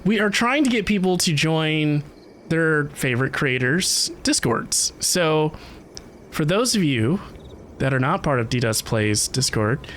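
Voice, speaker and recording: A game streamer giving casual live commentary, excitable and playful, with chatty asides. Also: mid-range voice, a little slow, slight background noise. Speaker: livestreamer playing a video game. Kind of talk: casual livestream commentary and chat.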